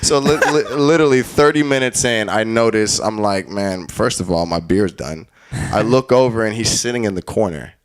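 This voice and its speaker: A man's voice: lowish voice, normal speed, clean tone. A man in his late twenties from the Caribbean, telling stories in casual chat, laughing often and acting out what others said. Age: 20s. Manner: storytelling, laughs often, acts out quoted speech. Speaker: guest music producer.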